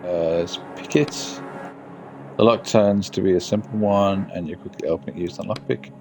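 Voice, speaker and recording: A man with a very deep voice and plenty of gravelly fry plays through a game on a live stream. He reads the on-screen text aloud in a halting way, dropping into muttered, casual commentary. Music plays clearly behind him.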